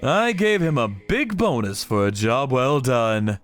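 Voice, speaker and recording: Slow, animated male story narrator. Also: medium pitch, soft background music. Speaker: narrator of a manga channel story. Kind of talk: dramatic first-person scripted narration.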